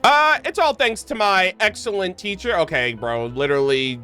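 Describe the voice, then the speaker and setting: Animated, bright-voiced man streaming a game. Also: high-pitched, moderate pace, soft background music. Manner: slangy, swears freely, excitable. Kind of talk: casual live gameplay commentary, reading game text aloud.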